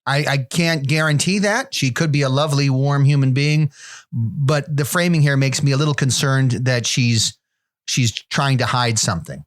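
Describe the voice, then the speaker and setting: A man's voice: medium pitch, normal speed, natural voice. A man playing a fussy dating-app phone operator. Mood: deadpan. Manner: hesitant, picky commentary with restarts.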